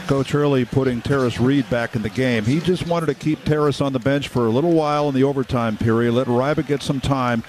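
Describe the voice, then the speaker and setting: A man's voice: medium pitch, fairly even intonation, fairly quick, hardly any pauses, a little mellow. A man working as a color analyst on live sports radio, recapping stats and game situations.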